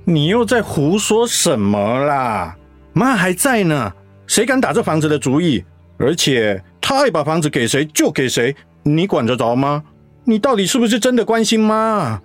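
Irritated eldest son, animated pitch, dry close sound, radio play. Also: male voice, normal speed, dry recording, faint music behind. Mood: exasperated. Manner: hushed then exasperated, arguing. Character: defensive, exasperated eldest son.

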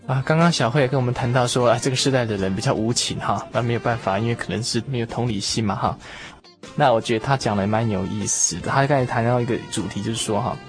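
Fast-talking man hosting. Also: medium pitch, faint music behind. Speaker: radio talk show host. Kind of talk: conversational discussion of faith.

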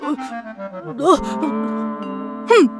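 A woman's voice: drawn-out words, music in the background. An actress playing a grumpy, flustered crybaby witch with a secretly kind heart in a children's audio drama, stammering and huffy.

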